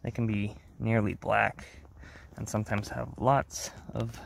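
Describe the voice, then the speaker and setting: A man giving casual, low-key narration as a wildlife vlogger filming outdoors from behind the camera. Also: level tone, unhurried, creaky. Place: outdoors in woods and grassland. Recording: outdoor ambient noise.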